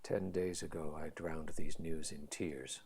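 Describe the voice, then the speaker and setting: An actor playing a grave, commanding warrior earl with a husky voice, speaking Shakespearean verse. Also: male voice, extremely low pitch, steady tone, measured pace, darkish tone, exaggerated voice. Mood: grave, weighty.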